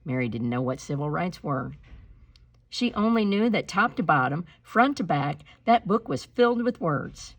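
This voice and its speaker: A woman reading a storybook aloud like a teacher, with deliberate, expressive phrasing and instructive delivery. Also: low-pitched, dry recording. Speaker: teacher reading a picture book aloud to pupils.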